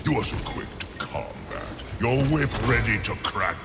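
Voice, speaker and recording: An actor playing an imperious, ancient lord of an underworld in a video game, speaking in a grandiose, solemn and scornful manner with a gravelly voice. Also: male voice, fairly low pitch, very animated. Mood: grave, measured. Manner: grandiose, deliberate, theatrical. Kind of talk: dramatic video game cutscene acting. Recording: long cavernous reverb, music underneath. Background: music.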